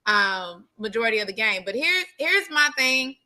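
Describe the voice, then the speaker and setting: A woman's voice: on the high side, big pitch swings, moderate pace, sharp tone. A woman co-hosting a sports talk show, making her points with emphatic repetition in casual chat. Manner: emphatic, repeats points for stress.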